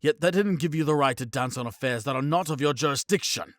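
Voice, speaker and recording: An actor playing a suspicious, hot-tempered knight commander who argues sharply in an audio drama. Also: male voice, a little high, fast, dry recording, clean studio sound, close to an everyday voice. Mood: cold. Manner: indignant, sharp, confrontational.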